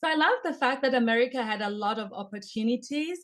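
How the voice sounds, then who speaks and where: A woman's voice: fairly high pitch, expressive, quick pace. A woman with a Kenyan accent, a podcast guest giving earnest, upbeat interview answers.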